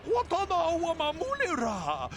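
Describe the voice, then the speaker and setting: A man's voice: very high voice, huge pitch swings, measured pace, a bit bright, slight background noise, heavily acted. A man voicing an argumentative alien gang thug speaking a made-up tongue in a video game. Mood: defiant.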